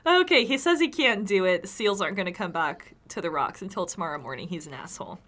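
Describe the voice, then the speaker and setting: A woman's voice: fairly low pitch, lively intonation, quick pace, fairly bright tone. A tipsy woman co-hosting a casual comedy podcast, reading folktales aloud with sweary, sarcastic asides.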